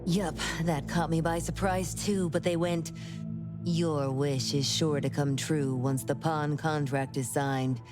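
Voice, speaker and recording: A woman voicing an excitable, self-mocking reclusive manga artist in a video game, with dramatic delivery. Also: sits low, slow pace. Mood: animated storytelling. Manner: dramatic, exclamatory. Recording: music behind the voice.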